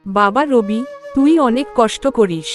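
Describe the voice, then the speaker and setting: A woman voicing a poor, sick mother in a cartoon, speaking softly and pleading. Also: mid-range voice, expressive, unhurried, faint music behind, natural voice.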